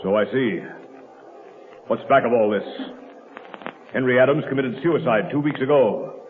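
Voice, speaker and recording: An actor playing a brisk, questioning police commissioner in a 1930s radio drama, speaking sternly. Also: male voice, medium pitch, a little hiss or hum, lightly acted. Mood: stern, puzzled. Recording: muffled old radio, long reverb.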